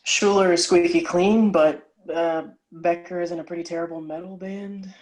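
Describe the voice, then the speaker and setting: A man playing a low-key young hacker in a screenplay table read, hesitant and understated. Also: fairly even intonation, moderate pace, natural voice, rough recording. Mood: hesitant, dry. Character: low-key young hacker friend named Jake, male.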